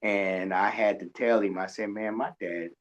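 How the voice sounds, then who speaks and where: A man's voice: fairly low pitch, steady tone, moderate pace, muffled recording. A man hosting a radio show, chatting casually in a folksy, colloquial storytelling way. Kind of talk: casual interview chat.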